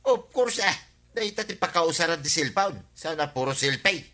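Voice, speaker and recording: A man's voice: fairly high pitch, lively intonation, normal speed, pushed and bright. A man with an Ilocano Filipino accent playing a scheming, defensive villager in a radio comedy drama, evasive and exclamatory. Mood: smug.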